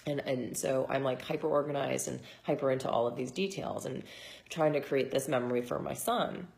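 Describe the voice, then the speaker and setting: A woman in her thirties, hoarse from shouting, chatting casually to her followers in a rambling way full of fillers. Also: very deep voice, fairly quick. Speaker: vlogger and mom. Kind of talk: casual chat to camera.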